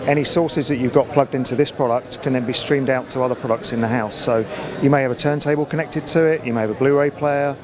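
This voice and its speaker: A man's voice: mid-range voice, fairly even intonation, fast talker. A middle-aged man explaining products informally in a trade show interview. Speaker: company account manager presenting products. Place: large indoor exhibition hall. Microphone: handheld interview microphone. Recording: busy crowd noise behind. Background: trade show crowd hubbub.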